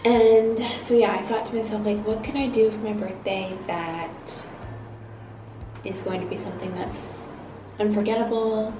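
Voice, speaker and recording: A woman's voice: medium pitch, unhurried, echoey room, far from the mic, music in the background, rough recording. Groggy young woman chatting on a balcony. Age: 20s. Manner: relaxed, rambling, many fillers. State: groggy, just woke up. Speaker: vlogger. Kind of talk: casual chat to camera. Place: apartment balcony, outdoors.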